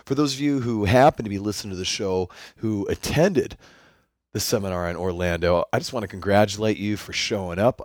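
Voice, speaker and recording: A man hosting his own podcast, talking solo in an upbeat, enthusiastic coaching tone, his voice hoarse. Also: fairly low pitch, a little mellow, no room sound, studio quality. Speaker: podcast host.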